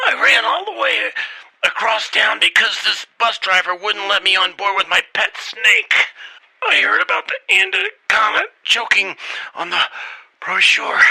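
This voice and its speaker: A man acts out a panting, indignant voter from a comedy radio play. The voice is high, gritty and husky, sharp on top, and strains for air as it swings up and down. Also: heavily acted, low-quality recording. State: out of breath. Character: breathless male voter with an anaconda around his neck. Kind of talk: comedy radio theater acting.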